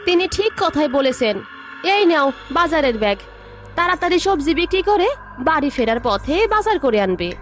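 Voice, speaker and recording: Woman doing a sneaky ghost pretending to be a housewife, cartoon, highish voice, bit of music behind. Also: sing-song, a little fast, a bit bright. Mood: sly. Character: evil female ghost disguised as a village wife. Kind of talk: cartoon voice acting. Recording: faint background music.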